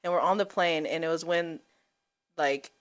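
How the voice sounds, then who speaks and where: A woman's voice: sits low, moderate pace. A woman in casual chat with a friend, giggly and agreeable, often exclaiming. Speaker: guest.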